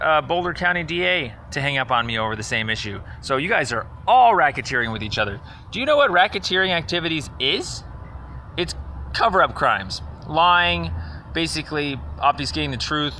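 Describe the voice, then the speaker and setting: Male caller, mid-pitched and forceful, confrontational and sarcastic, rambling accusatory voicemail, slight noise behind. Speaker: caller leaving a voicemail complaint. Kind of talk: rambling phone message, accusatory monologue.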